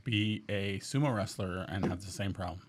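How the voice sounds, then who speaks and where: A man's voice: low voice, moderate pace, breathy edge. A man co-hosting a podcast, tossing in dry quips in casual, laid-back chat. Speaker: podcast co-host.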